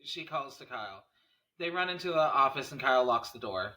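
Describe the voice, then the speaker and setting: Bright-voiced man narrating a screenplay. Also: mid-range voice, expressive, a little fast. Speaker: stage-direction narrator. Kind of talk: scripted narration at a table read.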